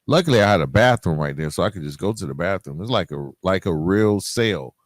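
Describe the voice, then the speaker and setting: A man's voice: low-pitched, lively intonation, brisk, no echo, studio quality. A middle-aged man hosting a podcast, talking informally and directly to his listeners in a conversational solo monologue. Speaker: podcast host.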